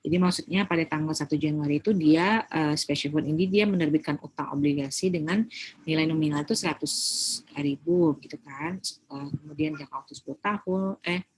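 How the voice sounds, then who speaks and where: An Indonesian woman speaks in a low, husky voice, teaching as a lecturer would in an informal recorded class. She explains step by step, mixing in English terms and often adding small tags to check that listeners follow. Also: fairly quick, a little mellow, low-quality recording. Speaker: accounting lecturer.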